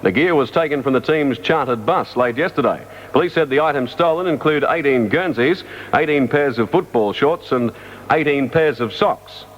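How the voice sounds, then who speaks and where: A man's voice: mid-range voice, normal speed. A man with an Australian accent reading a scripted news bulletin on 1970s radio. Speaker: radio newsreader. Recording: dull 1975 AM radio aircheck.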